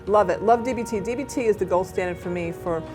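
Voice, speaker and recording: A woman hosting a local TV talk show, chatty and animated, often tripping over her words. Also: medium pitch, fast talker, music in the background. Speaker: talk show host and therapist. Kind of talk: casual local TV interview chat. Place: local cable TV studio.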